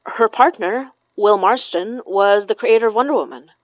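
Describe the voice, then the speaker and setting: A woman's voice: mid-range voice, moderate pace, no room sound, dull audio, lightly acted. A woman acting in an audio horror drama as an earnest, knowing spirit medium who blames herself. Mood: thoughtful. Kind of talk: audio fiction podcast acting.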